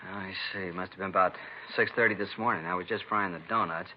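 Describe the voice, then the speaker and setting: An actor playing a plain-spoken bakery owner in a 1950s radio drama, casual and matter-of-fact about being robbed. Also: male voice, deep voice, fairly even intonation, fast talker, natural voice. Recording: dull, noisy old radio recording.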